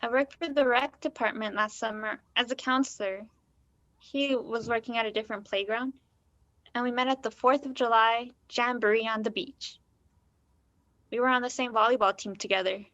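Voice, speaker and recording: A woman's voice: fairly high pitch, fairly even intonation, normal speed, a bit bright, no echo, highs cut off, close to an everyday voice. A woman acting a naive, unsettled nineteen-year-old confiding in a stranger in a naturalistic play. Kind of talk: naturalistic one-act play dialogue.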